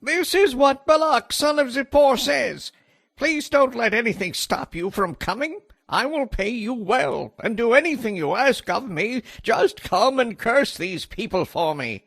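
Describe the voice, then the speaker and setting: A man's voice: extremely high pitch, animated, a little slow, vocal fry, fairly bright tone, dry recording, studio quality, exaggerated voice. A man playing an anxious messenger of a threatened ancient king, pleading formally in a dramatized scripture reading. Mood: formal, persuasive. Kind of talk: dramatized scripture audio acting.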